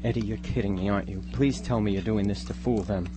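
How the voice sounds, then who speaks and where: A man's voice: on the low side, fairly even intonation, fast talker, background noise, natural voice. A man playing an anxious, doubting teenage boy in a radio drama, fretful and full of pleading questions. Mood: panicked. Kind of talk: live amateur radio drama acting.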